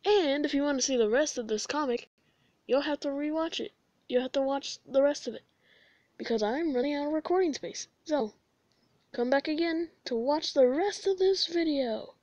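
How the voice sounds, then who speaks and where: A woman's voice: sits high, normal speed, darkish tone, no echo. A woman who dubs comics as an amateur, talking casually to her viewers. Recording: dull, dry amateur recording.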